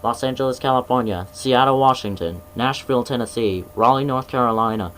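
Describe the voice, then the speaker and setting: A man playing a grave emergency alert announcer, reading scripted warnings in a formal, measured way over a noisy broadcast. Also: mid-range voice, a bit bright, lightly acted. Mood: solemn, instructive.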